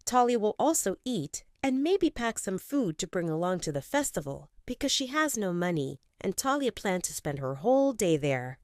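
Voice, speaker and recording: A woman narrating a romance audio story in an expressive, scripted style, close on a studio mic. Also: mid-range voice, no echo. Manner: expressive, swinging in tone.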